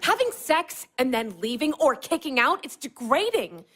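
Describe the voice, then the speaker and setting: A high-voiced, righteous young woman in an audio drama. Her pitch moves widely as she scolds, combative and sharp-tongued. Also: big pitch swings, normal speed. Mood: scolding, caustic. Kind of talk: naturalistic audio drama acting.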